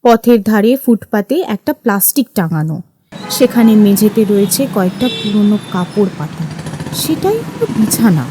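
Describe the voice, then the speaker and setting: A woman's voice: fairly high pitch, background noise. A woman reading scripted narration for an audio story.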